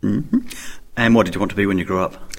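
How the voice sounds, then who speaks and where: Guy hosting a radio talk show, measured, short dry questions. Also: fairly low pitch, gravelly. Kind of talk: radio interview.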